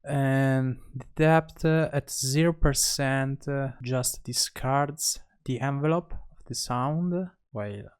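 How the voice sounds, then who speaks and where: A man narrating a software tutorial as an instructor, speaking haltingly and pausing between short phrases. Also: medium pitch, clean tone.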